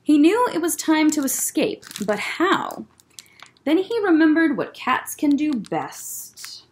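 A young woman reading a children's picture book aloud as a librarian storyteller, expressive and deliberate. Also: sits high. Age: young adult. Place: indoor library room.

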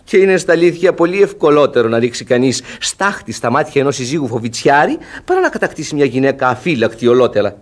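An actor playing a sly, worldly valet in a classic Greek radio comedy, knowing and glib in a theatrical way. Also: male voice, medium pitch, big pitch swings, hardly any pauses, natural voice. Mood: knowing, reassuring. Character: sly, worldly male valet advising his master.

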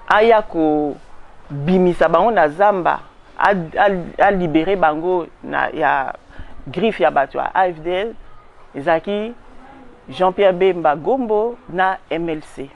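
A woman in her forties with a Congolese accent, giving an emphatic outdoor interview on a lapel mic. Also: medium pitch. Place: outdoors in a garden.

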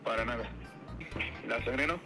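A man's voice: medium pitch, a little slow. A man with a Mexican accent on a phone call with a customer, polite and hesitant, often repeating himself. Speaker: furniture cleaning service worker. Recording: phone call with music behind.

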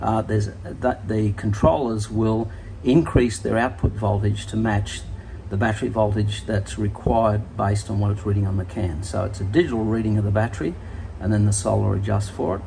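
A man's voice: lowish voice, steady tone, moderate pace, vocal fry, warm tone, a little hiss or hum, poor audio. A man walking through a boat's solar setup in a casual, technical way, with fillers and self-corrections. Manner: informal, explanatory, many fillers and self-corrections. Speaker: solar installer demonstrating a boat system. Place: on a sailing catamaran, outdoors and in lockers, later voice-over on screen recording.

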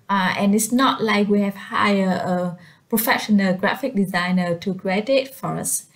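A woman whose first language is Vietnamese, narrating a step-by-step software tutorial as its presenter. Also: on the high side.

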